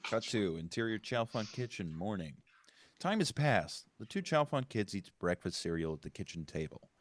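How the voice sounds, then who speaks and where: A man's voice: fairly low pitch, sing-song, normal speed, slight background noise. A man reading stage directions as scripted narration at a live table read, occasionally correcting himself.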